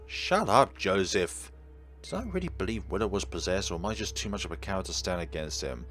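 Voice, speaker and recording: A man's voice: low-pitched, expressive, brisk. A man reading a visual novel aloud as a let's player, dropping in wry, casual commentary. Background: game music under the voice.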